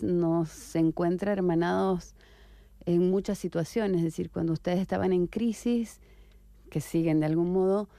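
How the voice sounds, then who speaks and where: A woman writer from Venezuela answering interview questions in a reflective, unhurried way, with soft hesitations and tag questions. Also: medium pitch, dry recording.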